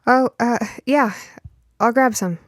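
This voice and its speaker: A woman's voice: fairly high pitch, dry recording, studio quality, pitched above the performer's own voice. A woman voicing a curious, cautious young girl who speaks hesitantly in an audio story. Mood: distracted, half-hearted. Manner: hesitant, halting. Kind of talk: audio fiction character dialogue.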